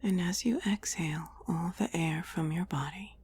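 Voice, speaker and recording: A woman whispering a guided meditation in a breathy, soothing, deliberate voice with long pauses between phrases. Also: on the low side, a little mellow. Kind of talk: whispered guided relaxation, ASMR-like scripted narration.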